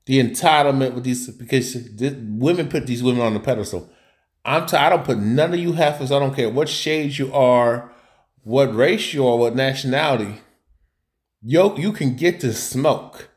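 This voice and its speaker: A man's voice: medium pitch, normal speed. Blunt ranting male streamer. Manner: blunt, scolding, repeats and restarts words. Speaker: reaction streamer. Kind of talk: ranting commentary over a played clip.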